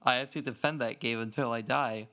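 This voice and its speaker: A man's voice: mid-range voice, fairly even intonation, fairly quick, pushed and bright. A young man co-hosting a casual gaming podcast, speaking in an enthusiastic, self-mocking, slangy way. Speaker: podcast co-host.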